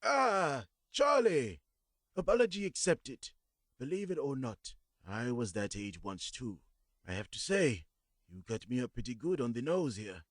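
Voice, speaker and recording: A soft-spoken old man with the faintest foreign accent, courteous and gentle, as a storyteller puts him on. His voice rises higher than the narrator's own and swings widely in pitch, heard dry and close with no room around it. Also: big pitch swings, unhurried, dry recording. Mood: warm, friendly. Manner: gentle, warm, softly spoken. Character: kindly old immigrant man, polite and gentle.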